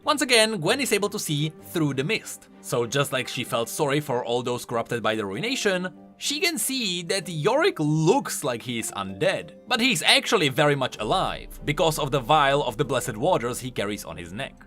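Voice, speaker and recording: Guy doing game lore commentary, bright forceful voice, super animated and emphatic, bit of music behind. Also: fairly high pitch, normal speed, few pauses. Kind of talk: explanatory commentary voice-over. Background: faint music.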